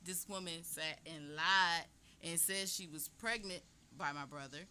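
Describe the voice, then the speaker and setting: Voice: mid-range voice, steady tone, unhurried, bright, forceful tone, a little hiss or hum. A co-host telling candid family stories in a casual podcast chat. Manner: candid, emphatic storytelling.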